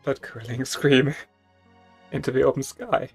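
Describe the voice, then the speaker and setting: A man's voice: mid-range voice, a little slow, creaky, darkish tone, breathy edge. A man reading a story game's text aloud in a dramatic style, with casual asides and music behind him.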